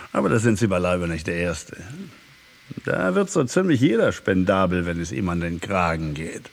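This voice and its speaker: A stingy, sly prison guard in a radio play. The voice is husky, with big swings in pitch. He muses dryly and bluntly, weary of the world and out for himself. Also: heavily acted. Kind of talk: German radio drama acting.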